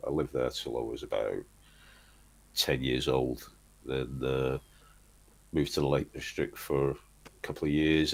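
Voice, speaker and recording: A middle-aged man from Manchester, telling long rambling stories from his life in a relaxed chat, with lots of restarts. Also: a bass voice. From Manchester, England. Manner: rambling storyteller, restarts often, chuckles. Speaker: guest, band singer. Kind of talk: casual interview chat.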